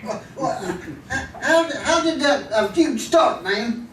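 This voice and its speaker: A man's voice: very high voice, lively intonation, takes it slowly, a bit bright, exaggerated voice. Man playing a stammering, echoey cowboy sidekick. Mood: flustered. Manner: folksy, stammering, repeats words. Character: simple, good-natured, flustered cowboy sidekick. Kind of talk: old-time radio drama acting on stage. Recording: echoey live stage, distant, faint noise.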